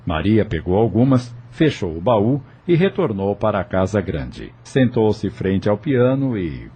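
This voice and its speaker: A man's voice: lowish voice, sing-song, measured pace, mellow. A man narrating a dramatic audio novel as a scripted storyteller. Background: background music.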